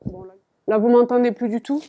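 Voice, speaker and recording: A woman's voice: on the high side, brisk, warm tone, muffled recording. A woman vlogging playfully to camera about coats she bought, theatrical and giggly as she talks straight to her viewers. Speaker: fashion vlogger.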